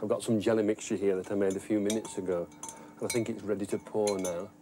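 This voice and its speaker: A man's voice: fairly low pitch, little pitch movement, quick pace, dark tone. A middle-aged man presenting for young children, thinking aloud and addressing viewers simply as he tells stories. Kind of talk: gentle scripted chat to young children. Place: wood-panelled narrowboat cabin.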